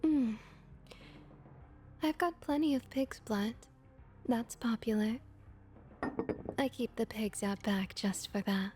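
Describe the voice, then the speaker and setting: A woman playing a friendly female vampire innkeeper and bartender in an ASMR roleplay, speaking softly and gently, often near a whisper. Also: fairly high pitch, lively intonation, faint music behind. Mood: welcoming, attentive. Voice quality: soft, breathy, often slipping into whispers. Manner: unhurried, gentle, reassuring.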